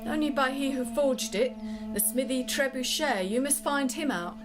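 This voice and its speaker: A woman's voice: high voice, moderate pace, music in the background, natural voice. A woman playing a knowing, courteous young damsel in an audio drama, with formal archaic phrasing. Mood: grave warning.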